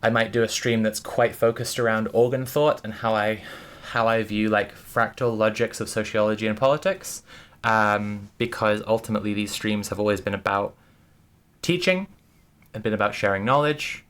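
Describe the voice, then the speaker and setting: A woman's voice: a bass voice, moderate pace. A woman streaming, talking casually and thoughtfully to her chat, with many restarts and fillers.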